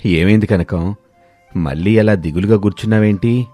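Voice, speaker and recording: A man voicing a humbled, gentle ageing village father who speaks softly and patiently in a moral story. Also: fairly low pitch, normal speed, dry recording, faint music behind, lightly acted. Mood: concerned, gentle. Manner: soft, patient, pleading.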